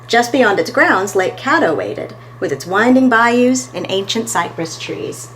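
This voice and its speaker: A woman's voice: fairly high pitch, expressive, a little slow, fairly bright tone, slight background noise. A woman reading a picture book aloud as a storytime host, expressively and talking to her listeners between pages.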